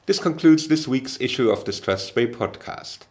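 A man's voice: mid-range voice, lively intonation, moderate pace. A man hosting a podcast, interviewing a guest and reading wry scripted announcements. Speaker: podcast host.

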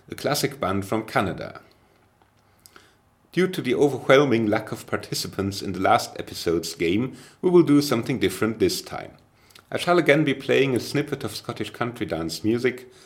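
A man hosting a podcast, interviewing a guest and reading wry scripted announcements. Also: medium pitch. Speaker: podcast host.

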